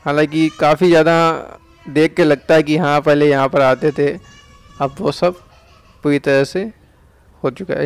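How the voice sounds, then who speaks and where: A man's voice: a little high, darkish tone, clean tone. A young man from India with an Uttar Pradesh Hindi accent, casually narrating a ride through town streets outdoors as a chatty vlogger. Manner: chatty, tag questions like "है ना".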